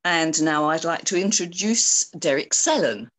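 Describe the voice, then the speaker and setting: A woman gives a poetry reading in a slightly low voice. She phrases deliberately and slowly, with lots of melodic rise and fall. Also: expressive, fairly bright tone. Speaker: poet.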